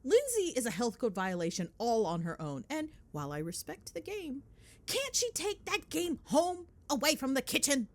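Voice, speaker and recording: Animated gossipy girlfriend, acted by a woman. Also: high voice, normal speed. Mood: exasperated, amused. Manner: animated, playful, sweary, dramatic griping. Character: chatty, gossipy girlfriend venting on the drive home. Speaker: ASMR roleplay voice actor. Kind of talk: ASMR girlfriend roleplay, casual phone chat.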